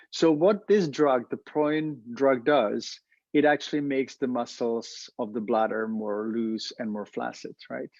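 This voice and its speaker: A man's voice: medium pitch, a little mellow, dry recording, dull audio. A man with a Czech accent, a veterinarian host giving an educational talk, explaining step by step and often repeating words as he searches for them.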